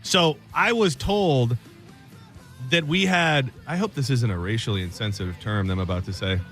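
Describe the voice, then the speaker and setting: A man's voice: mid-range voice, normal speed, a bit bright, faint music behind. A man from Michigan hosting a radio show, telling a rambling, self-deprecating story in casual banter.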